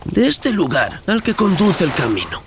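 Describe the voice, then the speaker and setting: A male narrator voicing a curious, obstinate English gentleman traveller, questioning and dismissive of superstition, in a dramatized audiobook. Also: high-pitched, huge pitch swings, moderate pace, background noise, voice pushed up, exaggerated voice. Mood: probing.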